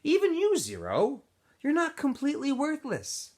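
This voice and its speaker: A man's voice: very high voice, huge pitch swings, moderate pace, pitched above the performer's own voice, exaggerated voice. A man voicing a preachy male camp counselor in a storybook, lecturing boys in an emphatic, pointed way. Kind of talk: storybook character voice.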